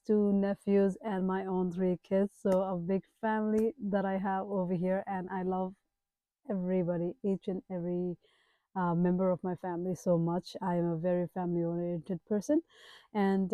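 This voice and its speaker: A woman's voice: mid-range voice, dark tone, dry recording, low-quality recording. A woman in her thirties with a Nepali accent, chatting casually to viewers in a self-introduction vlog, addressing them directly with emphatic asides. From Kathmandu, Nepal. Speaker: page owner and digital entrepreneur.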